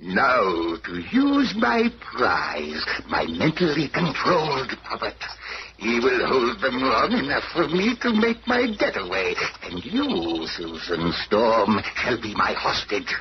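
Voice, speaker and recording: An actor playing a gloating, scheming supervillain in a theatrical, grandiose radio drama style. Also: male voice, medium pitch, huge pitch swings, takes it slowly, full character voice. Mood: smug menace. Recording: noisy, muffled old radio recording.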